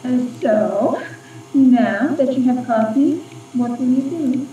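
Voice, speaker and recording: A woman's voice: high-pitched, lively intonation, takes it slowly, dark tone. Echoey dull audio, sultry russian lady spy ghost, russian accent, purring n taunting, radio play. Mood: seductive. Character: seductive, scheming female Russian agent ghost. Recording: dull, echoey, distant, slightly noisy recording.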